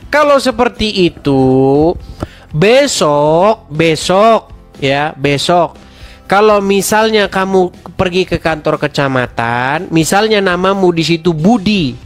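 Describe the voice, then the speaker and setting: Male debate host, slow and taunting, a bit stuffy with a cold, faint music behind. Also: sits high, expressive. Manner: mocking, challenging, laughs at opponent. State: slight head cold. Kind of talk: heated religious debate and commentary.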